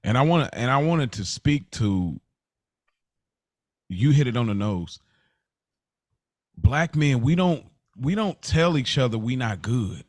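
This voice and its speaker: Animated man with widely swinging pitch. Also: unhurried, pauses often, dry recording, studio quality. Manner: animated, informal, repeats phrases for emphasis. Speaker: podcast co-host. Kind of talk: casual, unscripted podcast conversation.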